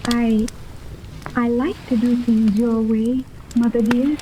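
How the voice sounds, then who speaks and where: High-voiced, earnest young girl. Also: level tone, slow. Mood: puzzled, prim. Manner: formal, precise, literal-minded speech. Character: earnest, sheltered young girl raised among cold scientists. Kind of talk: 1930s radio serial acting. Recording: hissy old 1930s radio recording.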